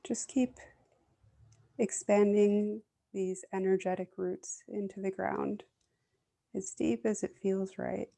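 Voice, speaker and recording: Meditation guide, female, soothing and even-toned with creaky vocal fry, unhurried delivery. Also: medium pitch. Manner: gentle, soothing, unhurried with long pauses.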